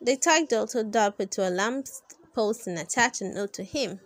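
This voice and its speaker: A woman reading a children's book aloud in an expressive, dramatic storytelling style with drawn-out emphasis. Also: medium pitch, no room sound. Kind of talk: animated read-aloud narration for kids.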